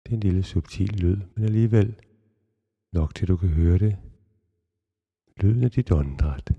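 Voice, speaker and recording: A man guiding a relaxation meditation in a calm, measured, instructional way with long pauses. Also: deep voice, gravelly, slightly rough, highs cut off. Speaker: yoga nidra guide.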